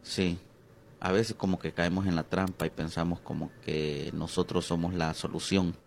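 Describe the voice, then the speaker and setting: Deep, gravelly Honduran pastor. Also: male voice, steady tone, slight background noise. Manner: hesitant, many drawn-out fillers, slows on key words. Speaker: pastor and interview guest. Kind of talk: reflective interview conversation.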